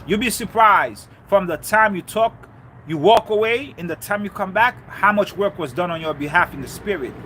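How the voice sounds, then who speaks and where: A man's voice: high voice, lively intonation, moderate pace, pushed and bright, slight background noise. A man from Haiti giving a fervent spiritual talk on faith and relationships, repeating key lines for emphasis. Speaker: spiritual speaker. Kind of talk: preachy motivational talk.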